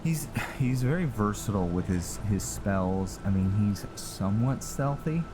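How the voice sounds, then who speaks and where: Deep voiced guy doing slow casual gaming commentary, thinking out loud, loud game music behind him. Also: animated. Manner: musing, halting, thinking aloud. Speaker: gamer narrating his own playthrough.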